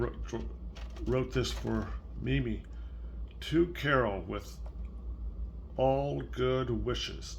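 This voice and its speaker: An older man talking gently and simply, as a grandparent reading a picture book aloud to a young child. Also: fairly low pitch, vocal fry, noisy background. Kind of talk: casual read-aloud storytelling for a child.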